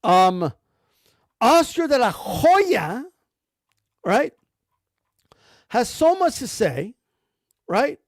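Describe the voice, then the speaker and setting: A man's voice: extremely high pitch, very animated, drawn-out words, pauses often, no echo, studio quality. A man giving blunt, rambling commentary about boxing, full of slang and cursing. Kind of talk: casual ranting commentary.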